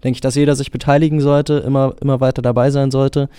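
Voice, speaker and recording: A man's voice: medium pitch, flat delivery, fast, dark tone, clear, resonant tone, no echo, studio quality. A man gives an earnest interview statement, repeating phrases as he thinks aloud. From Germany. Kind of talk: vox pop statement.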